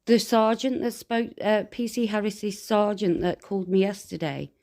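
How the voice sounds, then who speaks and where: A British woman with a mid-pitched voice talks on a phone call as a complainant. She explains her grievance conversationally, sounding earnest and insistent, with some hesitancy as she finds her words. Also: normal speed, gravelly, darkish tone.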